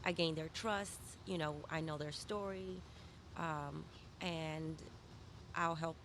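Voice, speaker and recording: Lower-voiced woman over clear background noise. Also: steady tone, slow pace. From born in Malawi, grew up in Saint Louis, USA. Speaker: victim advocate, interview guest. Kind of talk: conversational interview answers.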